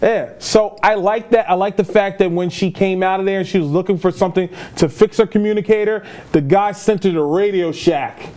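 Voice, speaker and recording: A man in his thirties co-hosting a film review podcast, chatting casually and telling animated, joking stories. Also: sits high, fairly quick, few pauses. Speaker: podcast co-host reviewing films. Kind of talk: casual chat.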